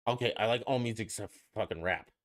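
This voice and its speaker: A man's voice: lowish voice, fast, a bit bright. A man co-hosting a podcast, asking crude questions and bragging in casual banter, a little tipsy. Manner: brash, joking, boastful.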